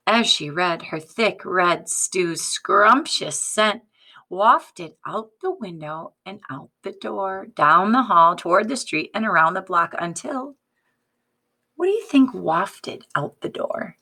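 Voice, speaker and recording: Slow, very expressive woman reading to children. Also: lowish voice. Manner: expressive, deliberate, prompting listeners. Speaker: teacher reading aloud to children. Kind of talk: picture-book read-aloud with questions to young listeners.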